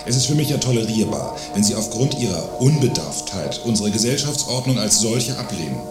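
An actor playing an indignant, self-righteous defender of the social order in a radio play, speaking in a pompous, stilted way. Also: male voice, on the low side, sing-song, brisk. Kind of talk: scripted radio play dialogue. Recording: echoey room, music behind.

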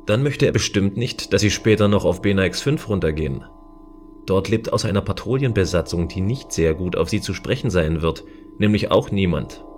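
An actor plays a stern male warden of a space station, with a fairly low voice. He is dry, formal and firmly in control, in a sci-fi audio play with soft music beneath. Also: expressive, brisk. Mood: controlled, authoritative. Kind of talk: science-fiction audio drama acting.

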